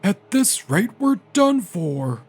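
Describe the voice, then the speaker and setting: An actor playing a furious, scolding company president in a manga dub. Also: male voice, high-pitched, very animated, takes it slowly, a little mellow, slightly rough, full character voice. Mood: angry, scolding.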